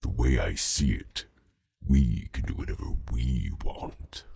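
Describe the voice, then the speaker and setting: A man voicing a creepy, menacing monster with a throaty, guttural wobble, slowly, through a digital pitch-lowering and bass-boosting effect. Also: expressive, vocal fry, warm tone, soft background music, full character voice. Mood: creepy menace. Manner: slow, creepy bass delivery.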